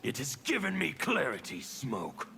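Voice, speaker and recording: A man voicing a fanatical, defiant revolutionary warrior in a video game, with a gravelly, menacing, declamatory delivery. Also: medium pitch, wildly swooping pitch, a little slow, pushed and bright, a little hiss or hum, full character voice. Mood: menacing, bitter. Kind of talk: video game character dialogue.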